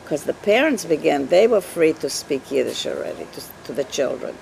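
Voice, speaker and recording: Gravelly older Russian-born speaker. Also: mid-range voice, big pitch swings, fairly quick, darkish tone, slight background noise, poor audio. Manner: emphatic, insistent, with rhetorical questions. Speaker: Yiddish teacher, interviewee. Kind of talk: personal storytelling in an interview.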